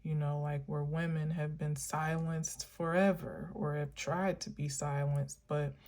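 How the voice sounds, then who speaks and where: Woman vlogger, low-pitched, slow and meandering, earnest spiritual musing. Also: little pitch movement, a little mellow. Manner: meandering, musing, many filler words; earnest, encouraging. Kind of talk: reflective spiritual monologue.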